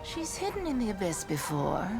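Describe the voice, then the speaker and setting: A woman's voice: mid-range voice, wildly swooping pitch, moderate pace, creaky, over music. A woman voicing a sly, mocking female demon in a video game, theatrical, self-assured and mockingly polite. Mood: scheming, assured.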